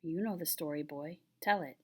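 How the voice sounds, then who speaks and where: A woman's voice: deep voice, normal speed, husky. A woman voicing a patient, solemn old grandfather who tells a tale in a grave, tender way, reading a children's picture book.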